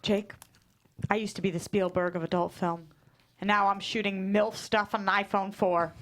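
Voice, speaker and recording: A woman's voice: mid-range voice, fairly even intonation, normal speed, lightly acted. A woman playing a sensitive, self-pitying rich middle-aged father at a script table read. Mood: wounded, earnest. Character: sensitive wealthy middle-aged porn-director dad, male.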